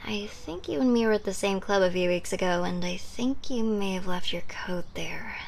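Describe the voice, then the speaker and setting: A woman playing a devoted, obsessive modern vampire in an intimate ASMR roleplay, flirty and sardonic, often dropping to a breathy hush. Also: medium pitch, a little hiss or hum. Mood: casually coaxing.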